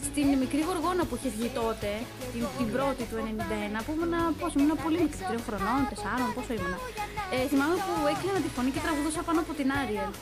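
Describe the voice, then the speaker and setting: A woman's voice: sits high, expressive. A woman, chatting loosely and a little hesitantly as she answers in a casual interview. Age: 20s. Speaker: interviewee, voice actress and singer. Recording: loud music behind the voice. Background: music.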